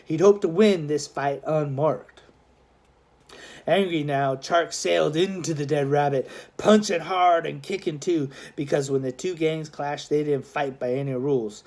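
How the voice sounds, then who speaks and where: A man reading a children's adventure novel aloud as scripted narration, in a dramatic, expressive storytelling manner. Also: on the high side, a little slow. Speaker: audiobook narrator, schoolmaster.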